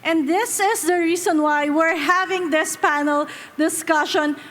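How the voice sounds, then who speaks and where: A woman's voice: extremely high pitch, measured pace, fairly bright tone. A woman journalist moderating a conference panel on stage, upbeat and enthusiastic.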